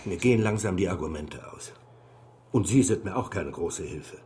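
Low-voiced, probing male interrogator. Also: expressive, moderate pace, very noisy. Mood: pressing, exasperated. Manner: pointed, confrontational questioning. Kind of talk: radio drama dialogue.

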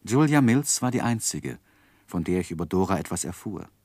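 An actor in a German radio play playing a reflective gentleman who narrates his own life in measured, literary phrases. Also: low voice, lively intonation, dry recording. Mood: melancholy, reflective. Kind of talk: scripted first-person narration in 1960s German radio drama.

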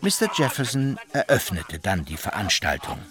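An actor as the wry storyteller of a satirical tale, reading scripted radio-play narration. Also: male voice, on the low side, sing-song, moderate pace, a crowd in the background.

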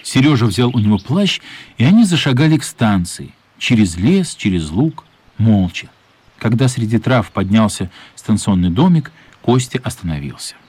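A man narrating a children's radio play as a wry storyteller with a husky voice. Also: on the low side, sing-song, moderate pace, a little mellow, no echo. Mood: storytelling.

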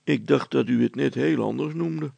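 A man's voice: medium pitch, normal speed, gravelly, darkish tone, husky, no room sound, highs cut off, pitched above the performer's own voice. A man voicing a deferential village butcher, hesitant and stammering, in a children's story. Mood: puzzled, obliging.

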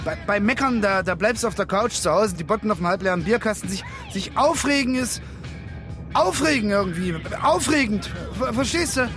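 A rebellious, disgruntled working-class man in a radio drama. His voice is high and moves widely in pitch, with blunt, halting everyday phrasing. Music is audible behind him. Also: animated. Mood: rebellious, earnest. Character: gruff, disgruntled working-class buddy, rebellious but concerned. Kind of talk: German radio drama acting.

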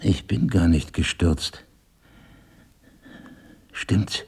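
An actor in a naturalistic radio drama playing a troubled amnesiac husband, intense and deliberate as he probes for the truth. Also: deep voice, darkish tone, husky, exaggerated voice. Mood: probing, suspicious.

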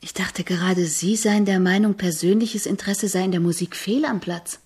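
An actress playing an eager, admiring composition student in a radio drama, speaking in an earnest, searching, deferential way with a husky voice. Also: female voice, medium pitch, moderate pace, a little mellow. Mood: admiring, questioning. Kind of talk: German radio drama acting.

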